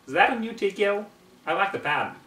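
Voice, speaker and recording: A man's voice: sits high, animated, fast talker, bright, forceful tone, voice pushed up. A man voicing a blunt, folksy farm mare, a protective big sister, in a storybook-style reading. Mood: friendly. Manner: folksy, blunt, drawling rural wording. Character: plainspoken, no-nonsense country mare, a protective older sister. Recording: roomy, distant mic, faint noise.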